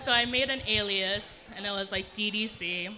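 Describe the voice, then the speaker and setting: Casting: young woman, panelist type, bright voice, blunt and irreverent, casual storyteller. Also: medium pitch, normal speed, rough recording. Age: young adult. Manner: candid, irreverent, sweary, breaks into laughter. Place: indoor event space with brick wall. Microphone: handheld event microphone.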